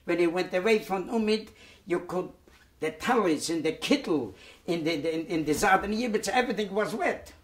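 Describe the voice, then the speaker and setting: A man, giving oral history testimony in a halting way, with many repeated words and restarts. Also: sits high, lively intonation. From Poland. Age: 90s. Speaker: Holocaust survivor giving testimony. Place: dark studio set, indoors.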